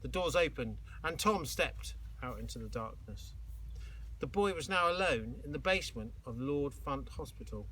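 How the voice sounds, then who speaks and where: Bright-voiced man reading a story dramatically. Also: mid-range voice, animated, a little hiss or hum, low-quality recording. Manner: dramatic, with exclaimed sound effects and suspenseful pauses. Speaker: teacher reading aloud to pupils.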